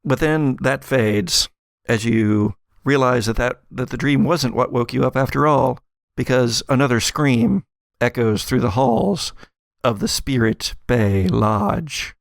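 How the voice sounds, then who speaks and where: A man narrating a tabletop roleplaying game as game master in a halting, conversational, chuckle-prone way. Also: mid-range voice, creaky, no echo.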